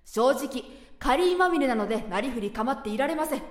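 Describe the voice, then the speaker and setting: A woman's voice: sits high, brisk, roomy sound. A woman playing a cheeky, chatty young man who whines and teases politely in a Japanese radio drama. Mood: indignant, teasing. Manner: polite but teasing, animated grumbling.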